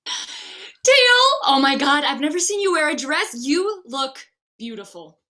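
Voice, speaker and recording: Woman playing bright, eager bride-to-be. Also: high-pitched, sing-song, measured pace, heavily acted. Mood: excited. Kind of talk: table read of a screenplay.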